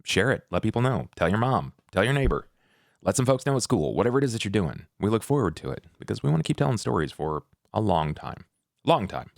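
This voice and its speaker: A man's voice: on the low side, very animated, quick pace, gravelly, no room sound, studio quality. A man chatting casually as a player and co-host on a tabletop roleplaying podcast. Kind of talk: casual chat.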